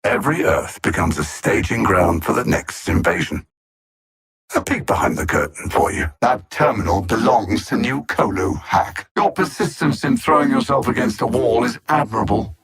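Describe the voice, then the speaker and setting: Casting: a hyper-intelligent alien supervillain in a game; husky, animated intonation, articulate contempt and theatrical menace. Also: male voice, on the low side, normal speed, full character voice. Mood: condescending taunting. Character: cold, arrogant male alien supervillain conqueror, hyper-intelligent and condescending. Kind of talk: video game villain voice lines.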